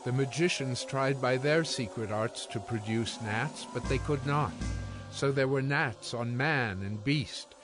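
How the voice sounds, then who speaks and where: A man's voice: medium pitch, a little slow. A man narrating scripture from a script as part of a dramatized reading. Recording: music bed behind the voice. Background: music.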